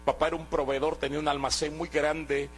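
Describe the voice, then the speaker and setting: A man's voice: a little high, flat delivery, fairly quick, slight background noise. A man from Guatemala, giving an earnest sermon testimony and often restarting his words. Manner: earnest, exhorting, with hesitant restarts.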